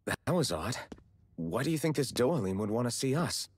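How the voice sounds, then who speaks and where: A man's voice: mid-range voice, sing-song, fairly quick, a little mellow, breathy edge, no echo. An actor voicing a wary, earnest young rebel swordsman in a video game, direct and questioning. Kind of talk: video game cutscene acting. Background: music.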